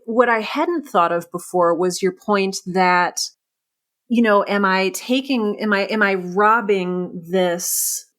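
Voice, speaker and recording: A woman's voice: medium pitch, fairly bright tone. A woman hosting a podcast in a casual interview conversation, thinking aloud reflectively in broken phrases. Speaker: podcast host and audio drama creator.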